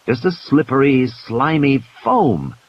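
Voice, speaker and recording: A man's voice: mid-range voice, very animated, slow pace, breathy edge, lo-fi sound. A man narrating an audiobook play in a dramatic, scripted storytelling style. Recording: hissy, noisy background.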